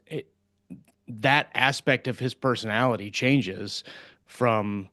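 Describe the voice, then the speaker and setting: A man's voice: fairly low pitch, a bit bright, no echo, studio quality. A man co-hosting a podcast, talking casually and conversationally about his views. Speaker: podcast co-host. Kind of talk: casual book discussion.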